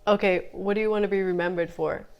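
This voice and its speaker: A woman with a Barbadian accent hosts a relaxed interview in a voice of middling pitch. She asks questions easily, laughs readily, and fills pauses with short affirmations. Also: clean tone, lo-fi sound. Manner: relaxed, quick to laugh, frequent short backchannels. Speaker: interview host. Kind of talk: casual interview conversation.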